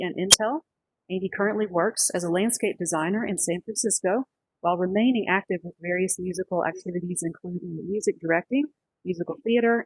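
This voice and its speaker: A woman hosting a career talk for students, introducing and questioning a guest in a polite, slightly halting way. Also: fairly low pitch, breathy edge, no room sound, low-quality recording. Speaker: host, career adviser to music students. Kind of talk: reading an introduction and interviewing.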